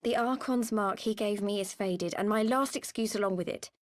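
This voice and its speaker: An actress playing an earnest, quietly resolute young woman fighter in a video game, speaking sincerely and with measured feeling. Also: medium pitch, no echo, studio quality, lightly acted. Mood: confessional, regretful. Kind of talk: video game cutscene voice acting.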